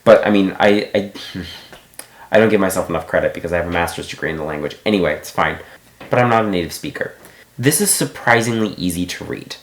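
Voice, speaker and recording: A man's voice: sits low, fast talker, echoey room. A man giving a casual book review, thinking aloud with many restarts and asides.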